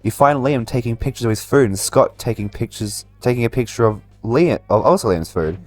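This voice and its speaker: Male gamer, casual narrator of on-screen text, stumbling delivery, average pitch, music underneath. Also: lively intonation, a little fast, a little mellow. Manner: stumbles over words while reading. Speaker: gamer reading a dating game aloud. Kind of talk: playthrough narration with casual commentary.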